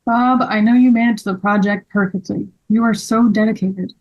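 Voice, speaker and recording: A woman's voice: medium pitch, steady tone, normal speed, darkish tone, muffled recording, natural voice. Woman as a distraught, worn-down store owner. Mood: grateful. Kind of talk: table read of a scripted story.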